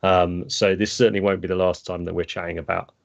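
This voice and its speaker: Gravelly low-voiced podcast host. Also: male voice, little pitch movement, fast talker. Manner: rambling, many fillers. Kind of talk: casual podcast chat. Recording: dull lo-fi remote audio.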